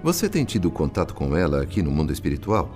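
An actor with a Brazilian accent playing a compassionate, respectful male spirit and worried father, in gentle, formal radio-drama style. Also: rumbling low, huge pitch swings, fast, soft background music, heavily acted. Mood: compassionate, probing. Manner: gentle, formal, earnest, considerate.

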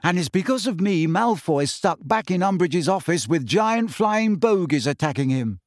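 A man voicing a stubborn, confident teenage girl in an audiobook, speaking in a forceful and defiant way. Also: on the high side, expressive, slow, dry recording, clean studio sound. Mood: fierce, defiant. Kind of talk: audiobook character voice.

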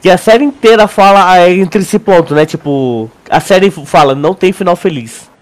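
A young man with a Brazilian accent chatting casually as a podcast co-host, in a slangy, halting way. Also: sits high. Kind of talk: casual chat.

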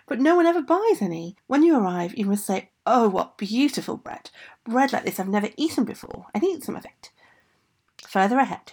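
A woman voicing a stern magical king who gives admonishing, deliberate instructions in a folk tale. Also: mid-range voice, vocal fry, a little mellow, husky.

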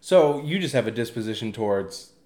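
A man's voice: medium pitch, expressive, fairly quick, darkish tone. A man hosting a podcast conversation who keeps prompting with curious questions.

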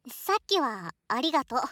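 A shy, affectionate student, once a boy and now a girl, acted by a woman, speaks in a very high, soft voice. The delivery is emotive, with wide swings in pitch, as in an intimate situation voice drama addressed to a best friend. The sound is close and very dry. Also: dry recording, full character voice. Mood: grateful, shaken. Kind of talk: Japanese situation voice drama acting, intimate one-sided dialogue.

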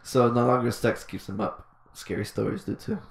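Gravelly laid-back man telling a story. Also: mid-range voice, fairly even intonation, moderate pace, roomy sound. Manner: laid-back, swears freely, many fillers. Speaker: podcast host. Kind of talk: casual storytelling chat.

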